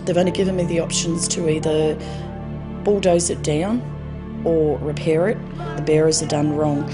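A middle-aged Australian-accented woman speaks in a personal interview. Her voice sits a little low, and music plays clearly behind her. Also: steady tone, normal speed. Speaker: interviewed homeowner. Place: furnished room indoors.

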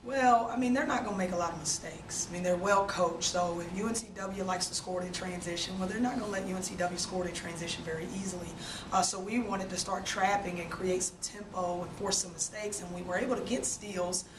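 Subdued woman coach, postgame presser, low and monotone, roomy distant desk-mic sound with noise. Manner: subdued, measured, frequent fillers. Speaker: head basketball coach. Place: press room with sponsor backdrop. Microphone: desk mic on stand. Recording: roomy, distant, noisy press room audio.

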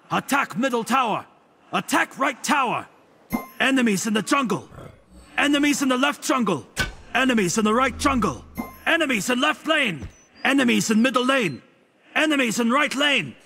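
A man's voice: extremely high pitch, gravelly, bright, forceful tone, heavily acted. A man voicing a haughty, smugly witty god sage in a video game, with a pompous, theatrical delivery. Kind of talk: video game character voice lines.

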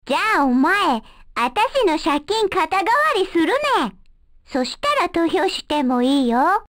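A woman's voice: very high-pitched, sing-song, a little slow, a bit bright, heavily acted. A woman voicing a small, blunt young girl fighter in an anime video game, with a mock Chinese accent and pushy, broken phrasing. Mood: blunt, scheming.

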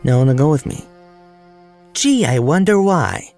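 A man's voice: a little high, animated, unhurried, darkish tone, faint music behind. A man voicing a young male hero with a secret identity, suspicious and defiant. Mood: wary. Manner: suspicious, defiant, talks back.

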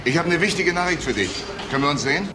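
A man's voice: fairly high pitch, lively intonation, fast talker, noisy background, lightly acted. An actor playing a pushy, suspicious, tough man in a crime radio drama. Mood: businesslike.

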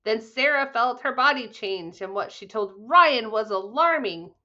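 A woman reads a children's story with a high, very animated voice. Her delivery is expressive and bright, caught in slightly dull webcam sound. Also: a little slow. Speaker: storybook reader. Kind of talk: children's read-aloud, scripted narration.

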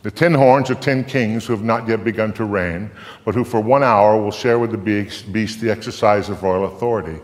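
Older man's dramatic recitation, echoing hall. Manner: solemn, deliberate, emphatic, swelling from hushed to booming. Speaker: performer reciting scripture. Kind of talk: live dramatic reading, theatrical storytelling recitation. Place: theatre stage before a live audience. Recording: echoey theatre hall, long reverb.

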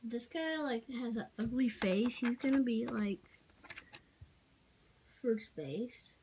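A child giving a casual, halting show-and-tell narration while filming on a handheld phone in a room at home. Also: mid-range voice, lively intonation, a little mellow. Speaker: amateur toy video maker. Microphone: handheld phone behind the camera. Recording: noisy handheld home recording with clicks.

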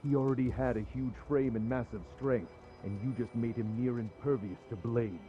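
An actor playing a gossiping, earthy castle guard in a video game, conversational. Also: male voice, mid-range voice, steady tone, normal speed, highs cut off, soft background music, lightly acted. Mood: gossiping, wary. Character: rough, gossiping adult male castle soldier on guard. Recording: very noisy outdoor ambience over voice.

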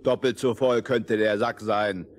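A man's voice: lowish voice, fairly even intonation, measured pace, a little hiss or hum, natural voice. An actor playing a boastful, brawny strongman in a fairy-tale radio play.

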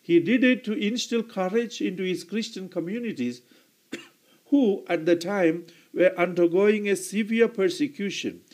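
A man with a high-pitched voice preaches a homily. His delivery is slow and deliberate. He clears his throat now and then and corrects himself mid-sentence. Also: a little mellow.

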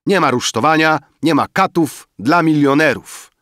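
Audiobook banker, played by a man: exuberant, boastful, declamatory, raised pitch, very close dry sound. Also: normal speed, dry recording, natural voice. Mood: exuberant, pompous. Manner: loud, declamatory, pompous. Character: exuberant, boastful male banker proposing a grand toast. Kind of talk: dramatized audiobook dialogue.

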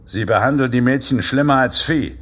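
An actor in an audio drama playing a menacing vigilante killer dressed as Santa, mocking and deliberate in his threats. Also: mid-range voice, a bit bright, slightly rough. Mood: cold, accusing. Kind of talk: German audio drama acting.

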